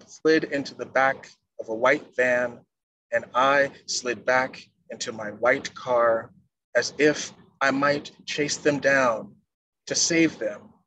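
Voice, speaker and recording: A middle-aged man giving a measured poetry reading as a poet on a video call. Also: medium pitch, a bit bright. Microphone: wired earphone mic on a video call. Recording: noisy video-call audio.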